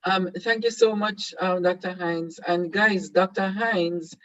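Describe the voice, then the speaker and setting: A man's voice: sits high, fairly even intonation, a little slow, a bit bright, lo-fi sound, slight background noise. A Jamaican man whose first language is Patois hosts an online seminar, talking hesitantly with many fillers and restarts. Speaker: host.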